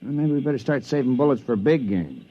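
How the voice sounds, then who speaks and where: An actor playing a cautious, practical, worried companion on a stranded expedition, in mid-century radio drama style. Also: male voice, mid-range voice, lively intonation, fast talker, close to an everyday voice. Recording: dull vintage radio recording.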